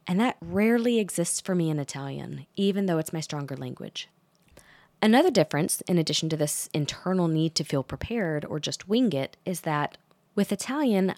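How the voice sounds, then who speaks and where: A woman hosting a solo podcast, talking in a calm, reflective and measured way. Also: on the low side, no room sound. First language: English. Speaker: podcast host.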